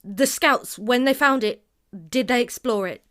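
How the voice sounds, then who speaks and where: An actress playing a hesitant but principled female survivor in an audio drama, speaking haltingly and frankly. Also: fairly high pitch, fairly bright tone. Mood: questioning.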